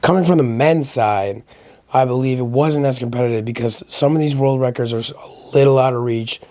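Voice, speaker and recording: Slightly raspy man, casual recap commentary. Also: mid-range voice, fairly quick, a little mellow, no room sound. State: getting over a sickness. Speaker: host of a powerlifting recap channel. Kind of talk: casual solo video commentary.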